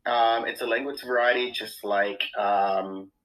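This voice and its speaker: A man who studies language, explaining calmly in an interview with many pauses. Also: lowish voice, rough recording. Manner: measured, thoughtful, with many ums. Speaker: language expert.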